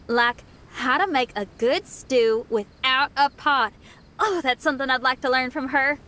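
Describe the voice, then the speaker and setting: A woman's voice: high-pitched, fairly bright tone. A woman voicing an upbeat young rock musician in a video game, friendly, breezy and spirited. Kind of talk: video game character voice acting.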